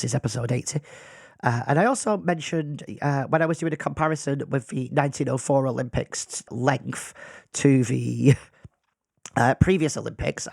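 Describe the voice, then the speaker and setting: A man's voice: mid-range voice, creaky, husky, no room sound, clean studio sound. A man who is losing his voice, hosting a casual solo podcast in a self-deprecating, rambling way. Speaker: podcast host. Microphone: podcast microphone.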